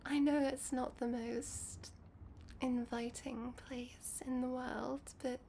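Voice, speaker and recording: A woman playing a shy, affectionate young vampire in a soft, hesitant, intimate ASMR roleplay, often dropping to a whisper. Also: high-pitched, flat delivery, slow pace, creaky, a little hiss or hum, full character voice. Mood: fond, wistful. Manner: gentle, hesitant, rambling, often drops to a whisper.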